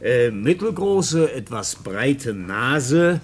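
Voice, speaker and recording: An actor playing a brusque, impatient, suspicious police official in a 1950s German radio drama. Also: male voice, medium pitch, sing-song, drawn-out words, breathy edge, full character voice. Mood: officious, dictating. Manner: hesitant filler-laden dictation, barking orders. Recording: noisy 1950s radio play recording.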